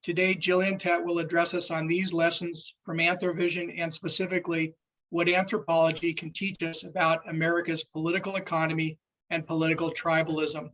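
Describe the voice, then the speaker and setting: A man's voice: a little high, little pitch movement, fairly quick, a bit bright. A man reading a formal scripted introduction of a guest speaker for a club meeting held over a video call. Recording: dull lo-fi audio.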